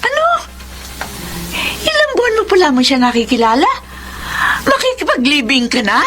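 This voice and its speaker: A woman's voice: sits high, huge pitch swings, normal speed, a bit bright, exaggerated voice. A caring middle-aged Filipina mother, fretful and exclamatory. Her motherly radio drama delivery rises and falls widely in a Filipino accent, over faint sizzling noise. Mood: shocked, scolding.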